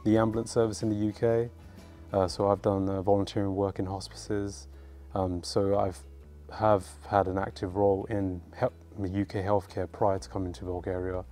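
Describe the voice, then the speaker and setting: A man with a London accent, a medical student answering interview questions in measured, articulate sentences, filmed in a university lecture hall. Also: on the low side, little pitch movement. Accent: London English. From United Kingdom. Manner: measured, articulate, formal wording. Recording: soft music under the voice.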